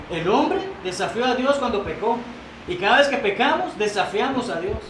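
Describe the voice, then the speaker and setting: A man preaching a sermon in a church, earnest and emphatic, reading quoted doctrine aloud and stumbling into restarts now and then. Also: high-pitched. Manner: earnest, rising to emphatic repetition. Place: church sanctuary. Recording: roomy church sound, mic at a distance, noisy.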